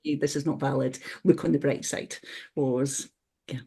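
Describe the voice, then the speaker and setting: A woman's voice: rumbling low, steady tone, moderate pace, vocal fry, mellow, husky. A woman with a Scottish accent, talking reflectively on a webinar panel and often restarting her sentences. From Scotland. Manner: reflective, with frequent restarts and "you know". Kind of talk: casual conversation.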